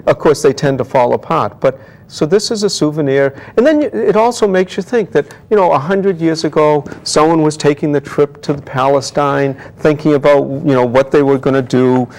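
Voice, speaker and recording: A man's voice: on the high side, moderate pace, a little mellow. A man speaking conversationally as an expert guest in an interview, explaining things with enthusiasm. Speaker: guest antiquarian book expert.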